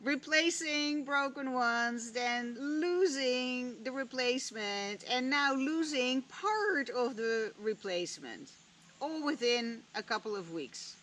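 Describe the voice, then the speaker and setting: A woman whose native language is Dutch, reflecting slowly and candidly on a spiritual lesson, with long pauses and the odd casual swear word. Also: sits high, lively intonation, fairly bright tone, slight background noise. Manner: slow, deliberate, musing with long pauses. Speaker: spiritual vlogger. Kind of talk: reflective personal talk.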